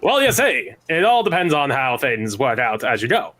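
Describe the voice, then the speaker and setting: A man voicing a mock Mid-Atlantic accent, with a formal, drawn-out manner, recorded over a video call. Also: mid-range voice, very animated, fairly bright tone. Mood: showy. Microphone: remote mic over a video call.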